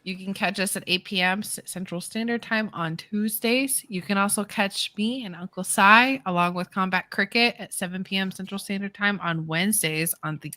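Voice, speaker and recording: Woman co-host, crisp bright tone, self-deprecating, dry mic. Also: mid-range voice, no room sound. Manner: laughs at herself, self-deprecating. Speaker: podcast co-host. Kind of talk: reading show announcements, casual chat.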